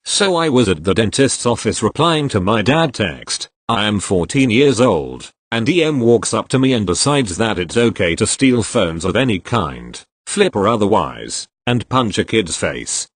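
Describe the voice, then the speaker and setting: Low-voiced man reading posts aloud. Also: big pitch swings, normal speed, hardly any pauses, dry recording. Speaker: narrator reading online posts. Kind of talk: scripted narration.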